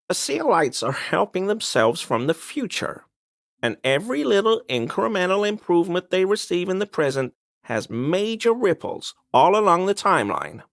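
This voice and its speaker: A man's voice: fairly high pitch, dry recording, studio quality. An actor playing a smug, conspiracy-minded man in a video game, speaking in an insistent, knowing, insinuating way. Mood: conspiratorial, earnest. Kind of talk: video game dialogue voice lines.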